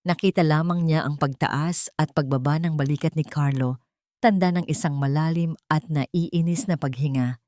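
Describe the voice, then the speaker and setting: Female storyteller for scripted dramatic audio fiction. Lower register, close dry sound. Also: moderate pace, mellow, no echo.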